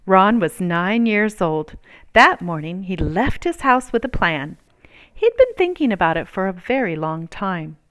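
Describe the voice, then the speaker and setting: Lady reading a kids story out loud, really smooth clear voice, expressive and deliberate. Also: mid-range voice. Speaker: storybook reader. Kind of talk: scripted children's story read-aloud.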